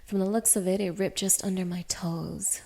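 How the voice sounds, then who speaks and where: A woman's voice: on the low side, fairly even intonation, moderate pace, heavy background noise. Woman acting a sneering, contemptuous dominatrix. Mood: irritated, sulky. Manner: sneering, scornful, swearing, swinging from breathy murmurs to raised snaps. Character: cruel, contemptuous young dominatrix belittling a submissive man. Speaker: audio roleplay performer. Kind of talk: intimate ASMR roleplay acting.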